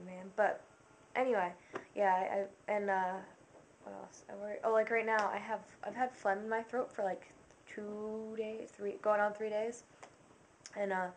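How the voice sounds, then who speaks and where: Chatty young woman rambling through a list. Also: mid-range voice. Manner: rambling, filler-heavy, self-conscious, dropping to quiet asides. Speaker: vlogger. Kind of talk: casual to-camera list monologue. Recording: faint background noise.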